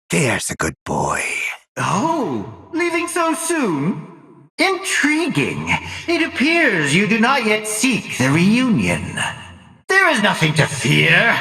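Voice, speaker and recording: A man's voice: high voice, bright, forceful tone, full character voice. An actor playing a cruel, condescending older mad scientist in a video game, mocking and theatrical, drawing out his words with gloating relish. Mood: menacing, gloating. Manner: mocking, drawn-out, theatrical delivery with gloating cackles. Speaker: villain. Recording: echoey, reverberant hall sound.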